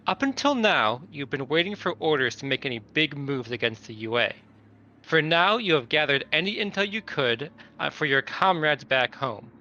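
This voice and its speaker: Bright-voiced man on a dull mic. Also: on the high side, very animated, normal speed. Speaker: tabletop game player. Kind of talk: casual talk and reading out character notes. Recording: dull lo-fi mic, faint noise.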